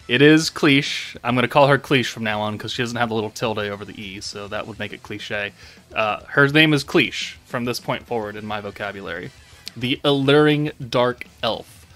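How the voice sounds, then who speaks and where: A man's voice: mid-range voice, fairly bright tone. Casual rambling man over background music. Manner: informal, rambling, thinking aloud. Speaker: gaming channel host. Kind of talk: casual game update commentary.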